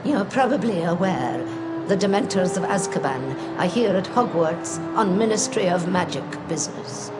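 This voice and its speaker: A woman's voice: lowish voice, expressive, moderate pace, close to an everyday voice. An actress playing a grave senior witch professor in a video game. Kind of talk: video game character acting. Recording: loud game music behind.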